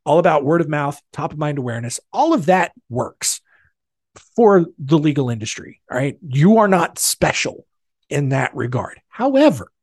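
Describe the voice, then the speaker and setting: A man hosting a podcast alone, talking casually with many fillers and restarts, his voice a bit raspy from a cold. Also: a little high, very animated, moderate pace, darkish tone, no room sound, clean studio sound. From North Carolina, USA. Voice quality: husky. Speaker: podcast host. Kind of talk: casual solo podcast talk.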